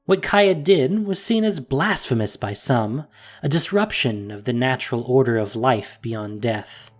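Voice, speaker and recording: Slow expressive fantasy narrator. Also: medium pitch. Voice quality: measured, expressive storytelling. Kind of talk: scripted fantasy story narration.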